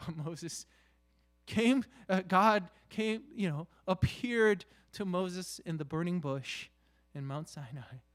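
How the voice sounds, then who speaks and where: Slow-paced male preacher, higher-pitched voice. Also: a bit bright. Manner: halting, with repeated "you know" and rhetorical questions. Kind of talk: conversational sermon.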